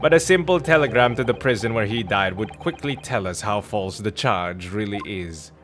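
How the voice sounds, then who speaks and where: A man voicing an earnest defence lawyer from a game, reading the dialogue in a formal, measured, theatrical way. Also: lowish voice, expressive, fairly bright tone. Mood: measured, deductive. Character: earnest male defence lawyer, methodical and accusing. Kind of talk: dramatic read-aloud of game dialogue. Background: game music.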